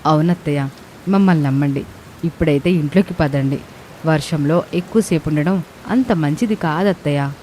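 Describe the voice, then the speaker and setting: A woman as a downcast, low-voiced younger daughter-in-law. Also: moderate pace, dark tone, a little hiss or hum, lightly acted, rough recording. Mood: pleading. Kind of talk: dramatised moral story dialogue.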